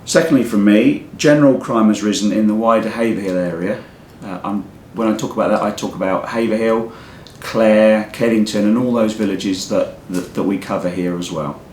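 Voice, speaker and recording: A hoarse man with a croaky voice from a cold, a local police commander giving an earnest off-the-cuff community update. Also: moderate pace, darkish tone. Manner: plain, earnest, repeats words while speaking off the cuff. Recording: echoey room with faint rumble.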